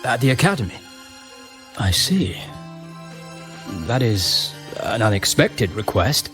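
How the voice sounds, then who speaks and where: An actor playing a composed official in a video game, speaking in a formal, measured way. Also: male voice, mid-range voice, big pitch swings, over music. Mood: composed, businesslike. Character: composed, formal male official of a research collective. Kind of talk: English video game voice acting.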